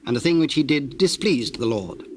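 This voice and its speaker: A man's voice: medium pitch, lively intonation, normal speed. A man narrating a dramatized scripture reading in a solemn, storytelling style. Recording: hissy old cassette tape, birdsong behind.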